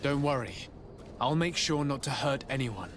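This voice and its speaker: A man's voice: medium pitch, animated, normal speed, background noise, close to an everyday voice. A man playing a composed, courteous noble diplomat in a video game, speaking politely and with discretion. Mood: calm, discreet. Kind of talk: video game cutscene acting.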